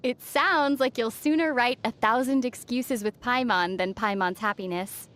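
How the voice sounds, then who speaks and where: A woman playing a young female adventurer in a video game, gentle and fondly teasing. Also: fairly high pitch, normal speed, lightly acted. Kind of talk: video game character dialogue.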